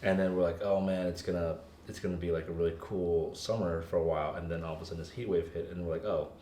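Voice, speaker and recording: A man's voice: sits low, fairly even intonation, fast talker, dark tone. A middle-aged man co-hosting a podcast, joking and rambling with plenty of laughs. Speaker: podcast co-host. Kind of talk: casual podcast chat. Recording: echoey room, faint noise.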